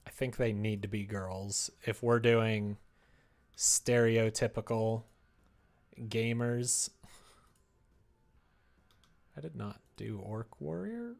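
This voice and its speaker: A man's voice: lowish voice, takes it slowly, creaky, pauses often, a little mellow. A man live streaming as he develops a game, chatting casually and muttering to himself as he works at his desk. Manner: muttering to himself between replies to chat. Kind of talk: casual chat while working. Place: indoors at a computer desk.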